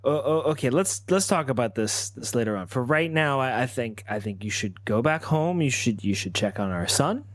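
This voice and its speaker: A man's voice: mid-range voice, expressive, moderate pace, hardly any pauses, no room sound, studio quality, lightly acted. A man roleplaying a nervous, evasive family man in a tabletop game, stammering and flustered as he talks. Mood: placating.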